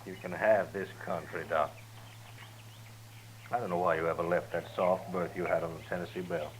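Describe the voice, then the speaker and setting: An actor playing a menacing, impatient male outlaw leader in a 1950s radio drama, gruff and threatening. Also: sits low, fairly even intonation, fairly quick, close to an everyday voice. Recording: old radio broadcast with faint hiss.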